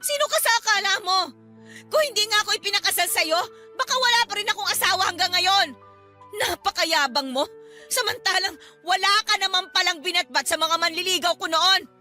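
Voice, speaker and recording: Defiant wife, very high-pitched, piercing, indignant, scolding, Filipino accent, emotional radio drama. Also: female voice, a little fast, bright, forceful tone, soft background music. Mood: angry scorn. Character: fed-up, defiant, hard-working wife and mother.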